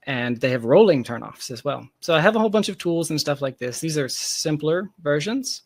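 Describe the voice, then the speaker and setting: A man's voice: on the high side, expressive, fairly quick, dry recording. A man speaking as an interview guest, explaining his work casually and candidly with emphatic, self-deprecating asides. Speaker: interview guest, software founder.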